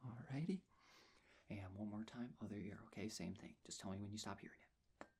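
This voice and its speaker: A man speaking softly and breathily, often in a whisper, gently reassuring the listener as a nurse in an ASMR medical roleplay. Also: on the low side, slightly rough. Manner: gentle, reassuring, unhurried personal attention. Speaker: ASMR performer playing a nurse. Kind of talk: soft-spoken ASMR medical check-up roleplay.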